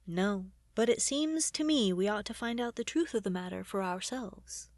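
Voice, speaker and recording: A woman voicing a fond, whimsical gentleman adventurer in a storybook, earnest and courtly. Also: mid-range voice, expressive, normal speed, no room sound, studio quality, lightly acted.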